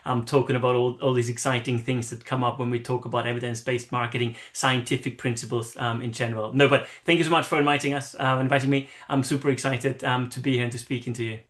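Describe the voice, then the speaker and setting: A man's voice: medium pitch, level tone, fast talker, hardly any pauses, fairly bright tone. A man in his forties with an Austrian accent, giving casual interview answers over a video call, enthusiastic and rambling with fillers. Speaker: guest, marketing consultant.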